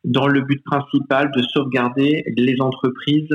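A man's voice: mid-range voice, little pitch movement. A man speaking as a guest financial advisor from a remote connection, explaining step by step with frequent hesitations. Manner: measured, explanatory, many hesitations. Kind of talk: remote interview. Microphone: remote call. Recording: dull remote line with faint hiss.

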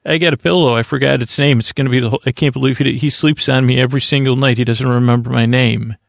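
A man's voice: mid-range voice, steady tone, quick pace, creaky, no echo, studio quality, lightly acted. A man voicing a neglected pillow that complains in a whiny way about its owner on a comic bedtime podcast. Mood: aggrieved.

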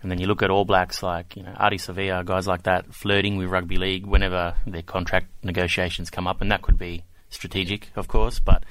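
A man's voice: low voice, level tone, brisk, hardly any pauses, a bit bright, no room sound, poor audio. A man hosting a podcast, asking questions in an easygoing way with lots of fillers. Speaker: podcast host. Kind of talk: casual podcast interview.

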